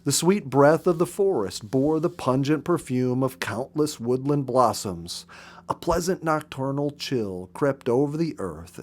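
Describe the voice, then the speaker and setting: Expressive male storyteller reading aloud. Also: mid-range voice. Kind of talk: expressive narrated audiobook reading.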